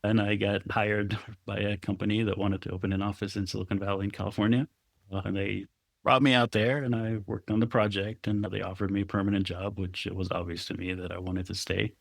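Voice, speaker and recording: Low-voiced reflective man from Israel. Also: level tone, a little fast, vocal fry, dry recording. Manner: thoughtful, laughs often while telling stories. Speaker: guest photographer. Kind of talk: reflective conversational interview.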